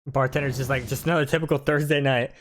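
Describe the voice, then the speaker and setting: A man's voice: medium pitch, steady tone, fast talker, a bit bright. Casual man commentating with noise behind. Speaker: video game streamer, narrator of playthrough. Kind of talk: casual commentary over gameplay cutscenes.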